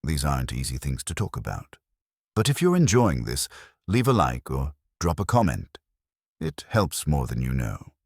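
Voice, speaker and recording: A man's voice: rumbling low, wildly swooping pitch, moderate pace, darkish tone, breathy edge, no echo. A man giving a scripted first-person horror narration in a grave, suspenseful storytelling manner. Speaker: narrator of horror stories, posing as a trucker.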